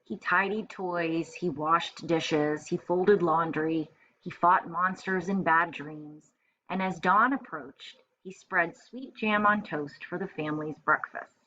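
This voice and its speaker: A woman's voice: lowish voice, slow, pushed and bright. A woman teacher reading a storybook aloud to first graders, expressively and with simple explanations for young listeners. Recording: dull lo-fi home recording with faint noise.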